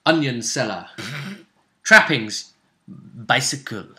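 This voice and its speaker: A man's voice: medium pitch, expressive, slow pace, sharp tone. A man chatting in a playful, bantering way as a game master recapping a tabletop campaign. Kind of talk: casual jokey chat and recap.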